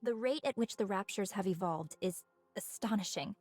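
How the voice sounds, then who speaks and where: A woman's voice: medium pitch, normal speed, darkish tone, no room sound, close to an everyday voice. A woman voicing a poised, inquisitive female researcher with hidden menace in a dramatic video game scene. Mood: fascinated. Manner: curious, probing, poised. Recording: music behind the voice.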